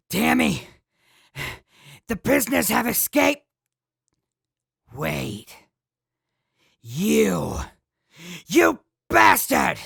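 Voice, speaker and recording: Very gravelly, slow, exasperated officer. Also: female voice, medium pitch, big pitch swings, fairly bright tone, no room sound, clean studio sound, exaggerated voice. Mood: exasperated, angry. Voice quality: gravelly, husky.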